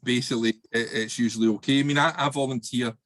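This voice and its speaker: A man's voice: mid-range voice, steady tone, fast talker, a bit bright, no echo, studio quality. A man speaking in a casual video-call discussion about volunteering, chatty and full of fillers.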